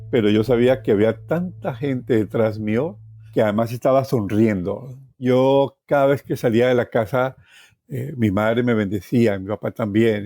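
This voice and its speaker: A man's voice: medium pitch, a little mellow, no echo, studio quality. A man in his sixties with a Colombian accent tells a personal story conversationally, repeating phrases for emphasis and tagging them with questions. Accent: Colombian Spanish. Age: 60s. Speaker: storytelling guest journalist. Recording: music behind the voice. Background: plucked guitar music.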